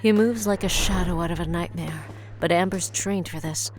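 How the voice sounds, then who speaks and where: A woman's voice: lowish voice, moderate pace. A woman delivering dramatic scripted narration for an audio drama.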